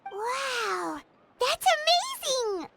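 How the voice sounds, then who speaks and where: Tiny game fairy girl, extremely high squeaky voice, swooping intonation, excitable, curious, childlike. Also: very slow, creaky, full character voice. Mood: amazed, curious. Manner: refers to self in third person, squeaky exclamations. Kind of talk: video game voice acting.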